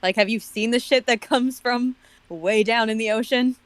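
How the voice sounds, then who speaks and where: A woman's voice: on the high side, fairly even intonation, moderate pace, dry recording. A woman chatting casually as a commentator, explaining her points in a matter-of-fact way. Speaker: guest commentator on a comedy reading show. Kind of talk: casual chat.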